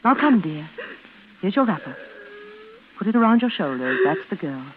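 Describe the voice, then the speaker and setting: A woman's voice: fairly low pitch, sing-song, normal speed. Creepy coaxing older-radio suspense woman, swoops up and down, tinny hissy old recording. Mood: soothing, coaxing. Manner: soothing endearments turning to insistent commands. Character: coaxing, sinister woman luring her frightened sister. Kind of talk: 1940s radio suspense acting.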